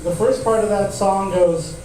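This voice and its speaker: A man's voice: high voice, a little slow, dark tone. Young man doing crude stand-up. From Tucson, Arizona. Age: 20s. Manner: laid-back, crude, laughs at own jokes. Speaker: stand-up comedian. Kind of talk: stand-up comedy set. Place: large comedy club. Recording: echoey club audio from far off, noisy.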